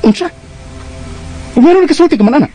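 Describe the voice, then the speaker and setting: A man's voice: very high-pitched, expressive, fast talker, over music. A man playing a jealous, hot-tempered boyfriend in a radio drama, accusing and melodramatic. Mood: jealous anger.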